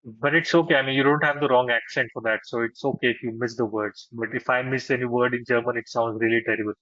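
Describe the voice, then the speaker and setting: A man's voice: mid-range voice, steady tone, fast, fairly bright tone, no echo. Marathi-speaking man, talkative and opinionated, dry muffled call audio; casual call chat.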